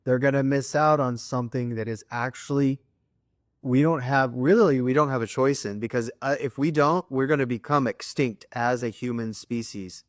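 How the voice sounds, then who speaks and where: A man's voice: mid-range voice, moderate pace, no room sound, studio quality. A man co-hosting a spiritual talk show, speaking earnestly in a preachy way. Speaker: co-host.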